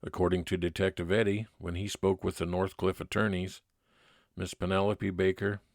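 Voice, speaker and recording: A man playing a kindly, laconic 1950s private detective, narrating his case in old-time radio drama style with measured, plain-spoken delivery. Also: low voice, little pitch movement, no echo, studio quality. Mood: reflective. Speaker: narrator and lead actor.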